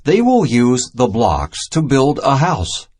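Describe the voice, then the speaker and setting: A man narrating a simple children's math storybook as a scripted, instructional read-aloud, in deliberate, separated phrases. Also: mid-range voice, wildly swooping pitch, a little mellow.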